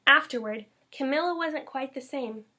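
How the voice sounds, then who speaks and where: A woman reading a children's story aloud in an expressive, emphatic school read-aloud style. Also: sits high, normal speed. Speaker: elementary school staff member reading aloud.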